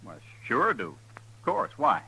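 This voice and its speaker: An actor playing a wary, stubborn cowboy on the run, plain-spoken with a rural Western American accent, in an old radio western. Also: male voice, mid-range voice, huge pitch swings, measured pace, creaky, sharp tone, breathy edge, heavily acted, poor audio. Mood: puzzled. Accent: rural American West. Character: wary, stubborn cowboy fugitive wrongly wanted for murder. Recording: vintage radio, faint noise and hum.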